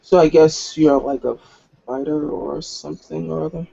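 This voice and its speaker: A man's voice: a little high, fairly even intonation, normal speed, gravelly, warm tone, dull audio. A man playing a menacing, condescending werewolf elder in a drawling, taunting manner. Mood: taunting, threatening.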